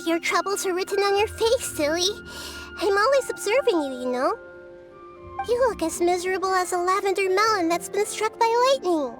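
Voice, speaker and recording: Game casting: a tiny wise girl spirit, very high childlike voice, gentle, curious and measured, over background music. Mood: teasing, reassuring. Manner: soft-spoken, measured, whimsical, gently teasing. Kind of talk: anime video game character voice lines.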